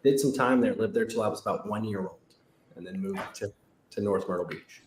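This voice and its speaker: Upbeat guy, lowish voice, kinda echoey room with faint noise. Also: a little fast, darkish tone. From South Carolina. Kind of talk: casual chat.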